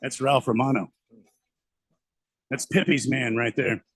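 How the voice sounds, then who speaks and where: A man's voice: medium pitch, fairly even intonation, measured pace, husky, no room sound. A man hosting a live sports talk radio show, chatty and full of hesitant fillers and restarts.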